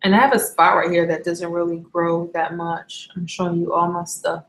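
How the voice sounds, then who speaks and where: A woman's voice: lowish voice, level tone, normal speed. A young woman from Barbados chatting casually on a live stream, candid and rambling, often correcting herself mid-sentence. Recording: echoey room.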